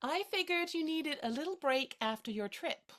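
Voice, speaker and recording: A woman's voice: high voice, expressive, moderate pace, fairly bright tone. A woman acting in a naturalistic drama as a playful, caring best friend with a light, teasing, encouraging manner.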